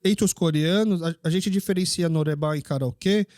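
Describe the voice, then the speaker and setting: A man hosting a casual interview, telling stories in an animated way and acting out the dialogue. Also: fairly high pitch, normal speed, no room sound, studio quality. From Brazil. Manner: animated storyteller who acts out dialogue. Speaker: podcast host.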